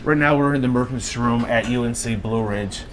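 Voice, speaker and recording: A man's voice: medium pitch, brisk, crowd noise, low-quality recording. A man working as a respiratory therapist, explaining his work in a plain, casual way with occasional fillers. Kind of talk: casual workplace interview.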